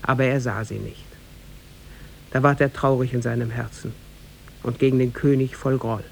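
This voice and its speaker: Gravelly male narrator, measured formal storytelling, 50s radio play, faint rumble behind. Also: steady tone.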